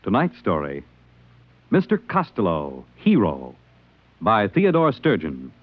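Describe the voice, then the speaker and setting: A man announcing in grand, deliberate, portentous old-time radio style. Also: lowish voice, wildly swooping pitch, very noisy. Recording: dull vintage radio broadcast recording. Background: dramatic music.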